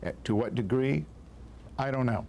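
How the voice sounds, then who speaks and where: Male doctor interviewee, heavy vocal fry, faint ambient noise behind. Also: mid-range voice, moderate pace, mellow. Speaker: orthopedic doctor being interviewed. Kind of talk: expert interview.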